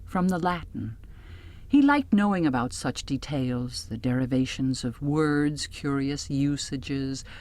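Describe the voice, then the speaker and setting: A woman with a low voice narrates a literary audiobook in the first person. Her delivery is measured and scripted, with phrase endings that fall away toward a near-whisper. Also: lively intonation, darkish tone.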